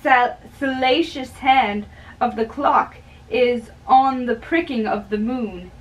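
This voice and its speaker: A young woman giving a theatrical, deliberate dramatic reading of play dialogue. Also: a little high. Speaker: amateur reader of a play. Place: small bedroom, indoors. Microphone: camera a few metres away. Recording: distant camera in a bedroom.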